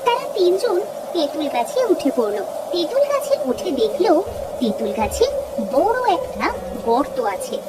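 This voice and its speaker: A woman's voice: sits high, sing-song, normal speed, heavy background noise. A woman narrating a scripted cartoon story, recorded slightly distant under music.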